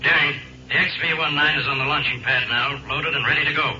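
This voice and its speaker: An American man playing a brisk, grave army general in a 1950s radio drama, businesslike and urgent. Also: fairly high pitch, fairly even intonation, sharp tone. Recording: dull, noisy 1950s radio recording.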